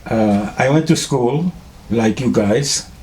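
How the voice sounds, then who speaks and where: An older man from Czechoslovakia whose first language is German, telling students about his early life in a halting way, with many fillers and restarts. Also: medium pitch, a little mellow, a little hiss or hum, poor audio. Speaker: Holocaust survivor speaking to students. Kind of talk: testimony to a school class.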